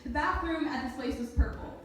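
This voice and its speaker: Female spoken-word performer, slightly high voice, off-mic in a very reverberant room. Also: a little fast. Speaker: slam poet. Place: echoey indoor event space, live performance. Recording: very echoey room, distant mic.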